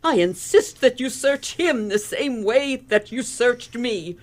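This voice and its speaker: A woman's voice: a little high, lively intonation, slow pace. A woman playing a haughty, indignant lady elocutionist in an old-fashioned radio play, with pompous, precise diction. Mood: bitter disdain.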